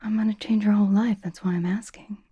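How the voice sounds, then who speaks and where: A woman playing a cocky young female pop idol in an ASMR roleplay, whispering breathily with halting, teasing, dramatic emphasis. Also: medium pitch, steady tone, warm tone, slightly rough, lo-fi sound. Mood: frank, teasing.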